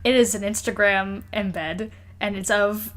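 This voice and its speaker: A woman's voice: medium pitch, steady tone, a little slow, a bit bright. A woman co-hosting a casual comedy podcast, wry and jokey, apt to break into laughter as she talks. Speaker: podcast co-host.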